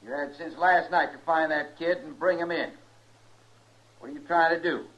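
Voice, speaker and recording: A man's voice: fairly high pitch, steady tone, normal speed, fairly bright tone, lightly acted. A man with an American accent playing a defiant, taunting mob leader with folksy speech in an old-time radio western. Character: belligerent rabble-rousing western mob leader, a male drifter. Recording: muffled old radio recording.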